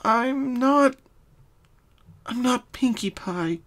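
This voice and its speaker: A woman voicing a sorrowful young female cartoon pony in a fan story, speaking softly and solemnly with hesitations. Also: on the high side, lively intonation, voice pushed up. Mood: solemn, hesitant. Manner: soft, solemn, halting. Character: sad young female pony confessing her hidden identity.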